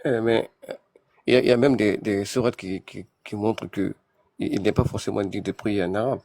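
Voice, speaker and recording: A man's voice: fairly low pitch, fairly even intonation, brisk, vocal fry, darkish tone, no room sound, studio quality. A man in a live discussion who argues his point with many repeated words and restarts. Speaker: debate panelist.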